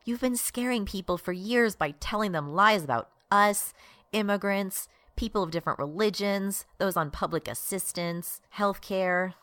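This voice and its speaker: A woman's voice: medium pitch, normal speed, fairly bright tone, no echo, lightly acted. A woman acting as an exasperated, righteous progressive activist in a satirical radio drama.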